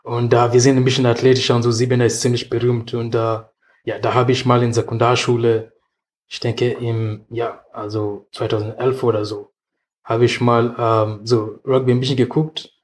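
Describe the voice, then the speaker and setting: Flat-voiced man from Kenya. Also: medium pitch, normal speed. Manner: simple phrasing, tag questions. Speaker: rugby player. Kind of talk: casual interview answers.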